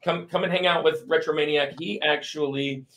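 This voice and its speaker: A man's voice: on the high side, fairly even intonation, moderate pace, a bit bright. A man hosting a podcast in casual, informal chat with an enthusiastic manner. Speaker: podcast host.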